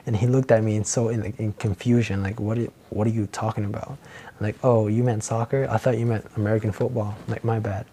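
Teenage boy, podcast host, mellow lowish voice, meandering chat, faint hiss behind. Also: level tone, fast talker. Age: teens. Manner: meandering, many fillers and restarts, soft laughs. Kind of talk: rambling solo podcast chat.

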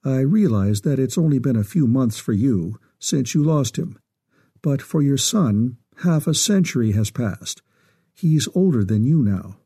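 Older official, read by a male narrator: measured, formal, very dark husky tone, story reading. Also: medium pitch, expressive. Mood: even, sympathetic.

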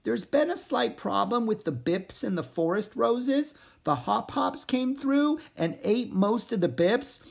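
A small, kind male forest frog speaks in a gentle, earnest way, his voice lifted higher and swinging up and down as he pleads softly through a woman reading the story. There is a husky, breathy edge to the sound. He sounds worried but eager to help. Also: animated, unhurried, few pauses, heavily acted. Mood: gentle, nervous. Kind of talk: storybook character voice.

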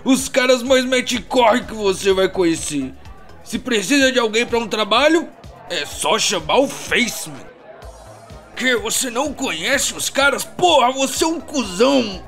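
Brash high-pitched male street informant. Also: fairly bright tone, exaggerated voice. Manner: slangy, taunting, boastful. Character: brash, foul-mouthed street informant bragging about famous shadowrunners. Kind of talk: audio drama monologue.

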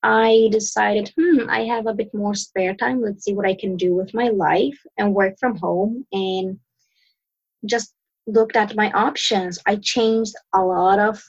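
A woman from Sweden, a coach and podcast guest, answering questions in a relaxed way, pausing and restarting as she searches for words. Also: mid-range voice, breathy edge, highs cut off. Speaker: mindset and success coach, guest. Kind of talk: casual interview answers.

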